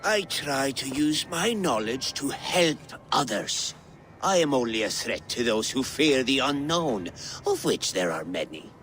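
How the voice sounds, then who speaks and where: An actor playing a proud, bitter outcast warlock in a video game, measured and disdainful. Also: male voice, mid-range voice, big pitch swings, a bit bright, slight background noise. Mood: scornful. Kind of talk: video game character acting.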